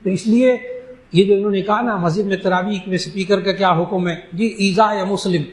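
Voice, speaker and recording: A man from Pakistan, an Islamic scholar, giving an emphatic religious talk that answers questions and slowing down to stress key phrases. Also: high-pitched, fairly even intonation. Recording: echoey room.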